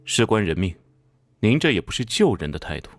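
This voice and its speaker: An actor playing a brusque, hot-tempered police captain in an animated drama, with a gruff, commanding, sarcastic manner. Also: male voice, on the low side, brisk. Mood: dryly insistent. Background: music.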